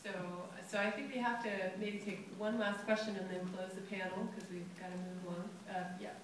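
A woman moderating a panel in a brisk, businesslike way, recorded from the audience of a large lecture hall. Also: mid-range voice, fairly even intonation, background noise. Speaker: moderator and professor. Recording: echoey hall from far off.

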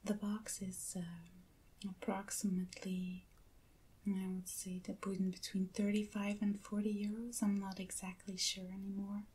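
Slow, breathy half-whispering woman, non-native English. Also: mid-range voice, level tone. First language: not English. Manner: gentle, hushed, unhurried. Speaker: ASMR video creator. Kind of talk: soft-spoken ASMR unboxing.

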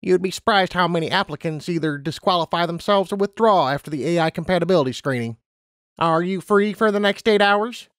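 A man's voice: high voice, a little fast, a bit bright, no room sound, studio quality, voice pushed up. A man voicing a stern, disdainful aging female unicorn personnel officer in a storybook reading, formal and condescending. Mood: grudging.

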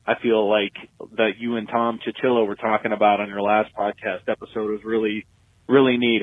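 Dull dry phone recording, man, fairly low even voice, casual appreciative caller. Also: no echo. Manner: appreciative, steady. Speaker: fan calling in.

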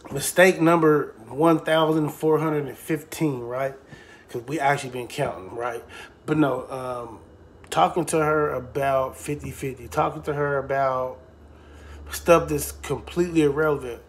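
A man's voice: a little high, fairly even intonation, a little slow, a little hiss or hum. A man in giving blunt, mocking, profane reaction commentary and talking straight to his viewers. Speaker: reaction channel host.

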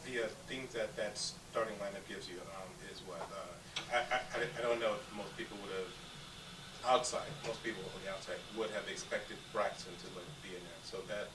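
A man, a reporter, asking casual, halting and rambling questions at a press conference. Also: lowish voice, steady tone, distant mic. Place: indoor press conference room. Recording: echoey room, off-mic, noisy.

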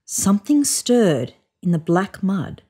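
A woman in her thirties narrating a children's picture book in an expressive read-aloud style. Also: mid-range voice, slow pace, husky. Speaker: storybook reader. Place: indoors, on a sofa in a room.

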